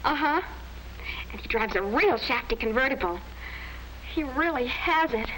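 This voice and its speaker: An actress playing a gushing, hot-tempered American teenage sister in a 1950s sitcom-style film. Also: female voice, high-pitched, animated, moderate pace, a bit bright. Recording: noisy vintage 1950s film soundtrack.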